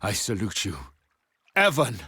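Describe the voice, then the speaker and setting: An actor playing a proud, solemn mouse king in a fantasy game, speaking formally and with feeling in a husky, theatrical voice. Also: on the low side, big pitch swings, measured pace, pushed and bright, no room sound, clean studio sound, full character voice. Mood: solemn resolve. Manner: formal, archaic, emotional delivery. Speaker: game character.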